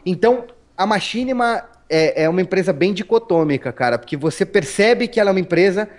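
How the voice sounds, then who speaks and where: Brief: Paulistano male guest, relaxed storyteller, raised pitch, lots of restarts and "né?". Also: brisk. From Brazil. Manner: chatty, storytelling, frequent restarts and tag questions. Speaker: interview guest. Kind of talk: casual interview talk.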